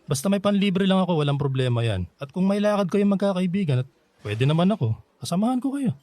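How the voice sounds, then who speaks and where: An actor playing a gentle, self-effacing middle-aged Filipino man in a radio drama, with a soft, earnest delivery and a Filipino accent. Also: sits high, sing-song, quick pace, dry recording. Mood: warm, bashful. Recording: music behind the voice.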